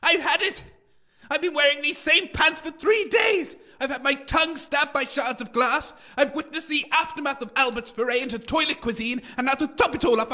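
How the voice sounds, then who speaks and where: A man's voice: extremely high pitch, sharp tone, exaggerated voice. A man playing a grumpy, theatrical radio co-host on an adventure in an audio comedy, grumbling and melodramatic. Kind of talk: scripted audio comedy acting.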